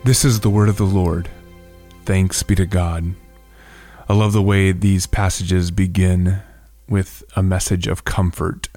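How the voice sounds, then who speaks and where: A man hosting an informal devotional reflection, speaking in a halting, thoughtful way with frequent pauses. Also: low-pitched, flat delivery, mellow, no echo, soft background music. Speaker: host giving a reflection.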